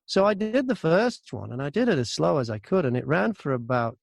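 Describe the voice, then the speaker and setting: A man's voice: a little high, sing-song, fairly quick, creaky, no room sound, studio quality. A man chatting casually as an interview guest, an audiobook narrator who tells stories by acting out both sides of exchanges. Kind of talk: casual anecdotal interview chat.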